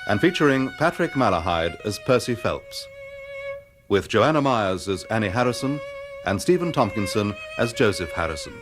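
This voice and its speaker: Low announcer over music. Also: male voice, sing-song, normal speed. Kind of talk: formal broadcast credits announcement.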